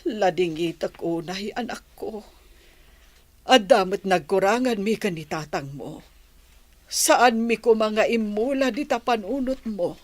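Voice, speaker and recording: A middle-aged woman with a Filipino accent playing a patient village mother who gives gentle moral advice in a radio drama. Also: medium pitch, animated, a little slow, no room sound, close to an everyday voice. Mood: gently reproachful.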